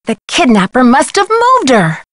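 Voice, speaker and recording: An actress voicing an earnest, determined young American amateur detective in a video game, speaking clearly, politely and insistently. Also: female voice, high voice, wildly swooping pitch, normal speed, no room sound, heavily acted. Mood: urgent, earnest.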